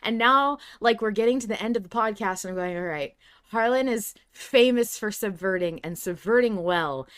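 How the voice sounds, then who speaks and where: A woman's voice: medium pitch, normal speed, a bit bright, clear, resonant tone. A woman co-hosting a podcast in casual discussion, talking emphatically and in anecdotes. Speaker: podcast co-host.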